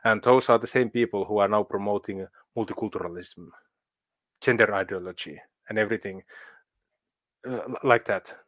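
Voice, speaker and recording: A man from Finland, a guest in a political interview, talking haltingly with many fillers and restarts. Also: fairly low pitch, fairly bright tone, no room sound.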